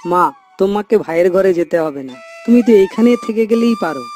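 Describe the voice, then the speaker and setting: A man's voice: high voice, animated, fast talker, warm tone, faint music behind. A man voicing a dutiful, concerned grown son and husband in a cartoon, earnest and chiding. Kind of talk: cartoon moral story voice acting.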